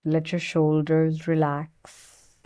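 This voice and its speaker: Slow, very mellow woman guiding meditation. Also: sits low, monotone. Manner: soothing, gentle, unhurried with long pauses. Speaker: meditation guide. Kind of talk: guided meditation.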